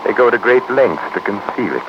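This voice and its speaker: An actor playing a cultured, calm, quietly obsessive male prisoner in a 1950s radio drama, speaking with polite, precise, academic diction. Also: lowish voice, brisk, natural voice. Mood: bookish, composed. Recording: noisy, muffled 1950s radio recording.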